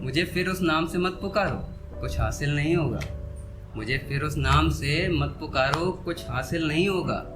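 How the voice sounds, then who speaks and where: A man performing a live poetry recital, repeating couplets with deliberate pauses and speaking to listeners between verses. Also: on the high side. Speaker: poet performing on stage. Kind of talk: live Hindi-Urdu poetry recital with spoken asides. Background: soft instrumental background music.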